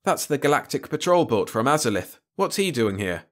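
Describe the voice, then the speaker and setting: A man's voice: medium pitch, big pitch swings, brisk, pitched above the performer's own voice, lightly acted. A man voicing a brash, impatient, anxious male freighter pilot with a curt manner in an audiobook story.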